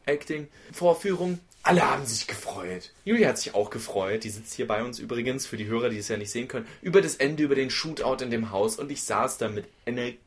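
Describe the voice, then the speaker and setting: A man co-hosting a film podcast, giving animated, opinionated and rambling takes in casual conversation. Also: mid-range voice, quick pace, few pauses. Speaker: podcast host.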